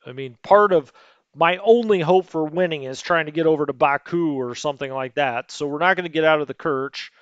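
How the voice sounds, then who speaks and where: A man's voice: on the high side, a bit bright, clean tone, dry recording, highs cut off. A man hosting a strategy game let's play, thinking aloud in a rambling, hesitant way with lots of fillers and second-guessing. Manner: rambling, self-questioning, hesitant, many fillers.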